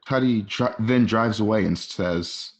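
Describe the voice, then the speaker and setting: A man's voice: on the low side, measured pace. A man reading screenplay stage directions aloud as the narrator of a radio play. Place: at home.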